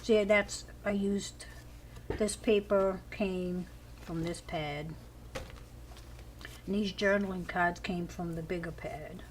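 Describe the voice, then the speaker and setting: A woman's voice: on the low side, steady tone, takes it slowly, long pauses. Woman crafter, scratchy voice, chatty page-by-page walkthrough, recorded on camera at a home table. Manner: chatty, describes each page as she flips. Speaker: crafter showing a handmade journal. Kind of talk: casual show-and-tell.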